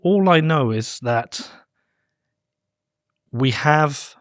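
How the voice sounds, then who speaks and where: A man with an English accent hosting a podcast, talking relaxed and self-deprecating, thinking aloud with "um" and "like". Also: fairly high pitch, very slow, no room sound, clean studio sound. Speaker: podcast host. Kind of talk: conversational interview.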